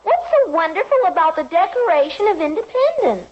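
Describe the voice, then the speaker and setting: A woman's voice: very high voice, very animated, a little fast, darkish tone. A woman playing a curious, literal-minded little American girl, wide-eyed and blunt, in 1950s radio acting style. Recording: muffled, noisy vintage radio recording.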